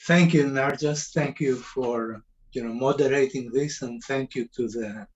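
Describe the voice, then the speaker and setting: Older male panelist, Greek accent, muffled lo-fi sound, halting informal storytelling, medium pitch. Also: slight background noise. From Greece. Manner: hesitant, halting phrasing. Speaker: panelist, cancer center director. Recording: dull, lo-fi call audio.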